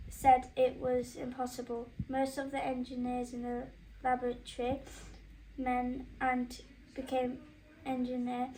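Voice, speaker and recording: A woman's voice: sits high, dark tone, low-quality recording. A woman on a school's staff reading a children's story aloud, with faint noise on the recording.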